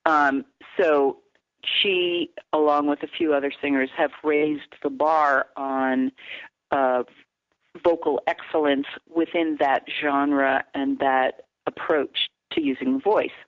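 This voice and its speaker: A woman vocal coach explaining singing technique in a measured, teacherly way on a radio call-in. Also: deep voice, fairly even intonation, dry recording. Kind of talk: phone-in radio interview. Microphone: telephone. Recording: tinny phone line, dry.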